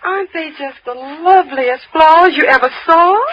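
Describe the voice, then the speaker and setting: An actress playing a perky, excitable, easily frightened young woman in 1930s radio drama style, gushing and slangy. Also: high-pitched, normal speed, lo-fi sound, close to an everyday voice. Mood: gleeful. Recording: noisy old 1930s radio recording.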